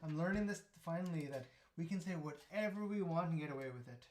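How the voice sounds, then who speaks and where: A young man with a slightly raised voice chats on a live stream, teasing and joking as he goes. He trails off and restarts his sentences. Steady background noise runs under his voice. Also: a little high, quick pace. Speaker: co-host of a live building stream. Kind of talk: casual live-stream chat. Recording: noisy live-stream audio.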